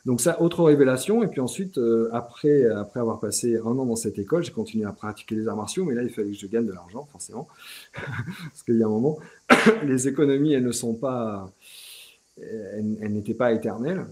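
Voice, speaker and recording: A middle-aged French-speaking man, a guest in an interview, talking in a relaxed, chatty way with frequent restarts and small fillers. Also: medium pitch, fairly quick. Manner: hesitant, many restarts and "tu vois".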